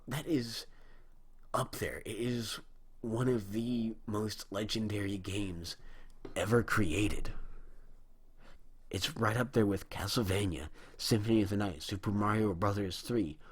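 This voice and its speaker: A man's voice: on the low side, slow, husky. A young man in his early twenties, giving a rambling, indignant monologue as a video host, with a dry, strained throat. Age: young adult. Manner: rambling, indignant, frequent restarts. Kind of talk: opinion monologue on video games.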